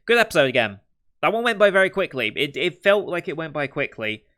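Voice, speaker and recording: A man hosting an anime reaction, thinking aloud in casual commentary and musing over what the characters will do next, with the odd soft laugh. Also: on the high side, very animated, brisk. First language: not Japanese.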